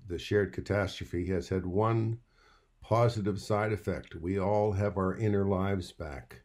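A man in his sixties or older, a playwright giving measured, reflective remarks to camera. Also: low-pitched, darkish tone. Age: 60s or older. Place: wood-panelled bedroom, indoors.

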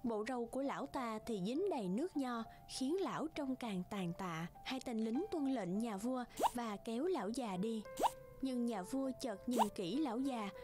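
A woman's voice: a little high, expressive, moderate pace, warm tone, faint music behind. A woman reading scripted fairy-tale narration for a cartoon as the storyteller.

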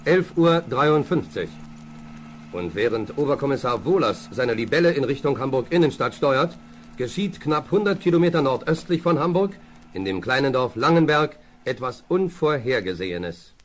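A man narrating a scripted children's radio play in a measured, dramatic storytelling manner. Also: mid-range voice, expressive. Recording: old radio play, background noise.

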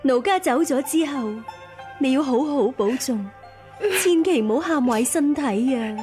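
A devoted young maid in a radio drama. Her voice is high, with a Hong Kong Cantonese accent, over clear background music. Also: lively intonation, unhurried. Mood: tearful, resolute.

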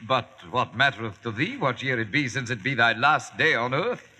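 A cheeky young medieval page, very bright and piercing yet husky. His delivery is animated, archaic and gleefully matter-of-fact, in old-time radio style. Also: medium pitch, normal speed, heavily acted. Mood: haughty, gleeful. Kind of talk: 1940s radio acting, archaic speech.

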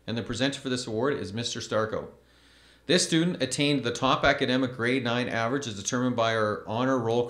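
A man in his thirties reading school award announcements from a podium microphone in a measured, formal way. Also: medium pitch, fairly bright tone, roomy sound. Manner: measured, formal, reads names slowly. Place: school event stage at a podium.

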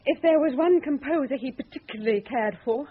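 A woman's voice: high-pitched, fairly quick, lightly acted. An actress playing a jaded, hard-drinking, scornful woman in a radio drama. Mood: cool, bitter. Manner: sardonic, dismissive. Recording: muffled phone-like old radio recording, faint noise.

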